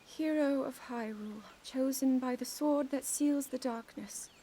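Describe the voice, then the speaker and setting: Solemn princess, high mellow voice. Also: female voice, a little hiss or hum. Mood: solemn, reverent. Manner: formal, measured, grave. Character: solemn young princess reciting a ceremonial blessing. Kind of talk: video game cutscene acting.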